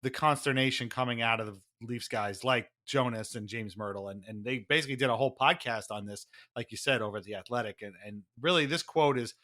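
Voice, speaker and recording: A man co-hosting a podcast, explaining things in casual sports talk with animated, wry asides. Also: mid-range voice, quick pace, few pauses. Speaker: podcast co-host.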